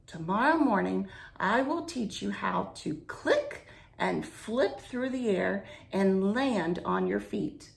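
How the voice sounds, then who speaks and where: A wise old storybook beetle, read by a woman, teaching with reassuring, instructive lines. The delivery is animated, with wide swings in pitch and a slight creak. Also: takes it slowly, exaggerated voice. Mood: patient, instructive.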